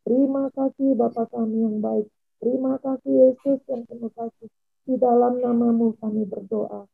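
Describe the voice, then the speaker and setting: Woman leading a prayer, raspy fry in her voice, dry close sound, repeats herself a lot and trails off at the ends. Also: on the high side, fairly even intonation, moderate pace, dry recording, soft background music. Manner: repetitive, fervent, with trailing phrase endings. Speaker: prayer leader. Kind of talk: spoken intercessory prayer. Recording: tinny phone-like line.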